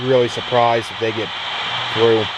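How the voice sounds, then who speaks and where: An American man casually talking through a demonstration in an unhurried, rambling way, recorded on a handheld camera inside a pickup truck. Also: medium pitch, little pitch movement. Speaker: vlogger demonstrating an old CB radio. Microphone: handheld camera, speaker off screen. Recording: very noisy, radio static and vehicle ambience.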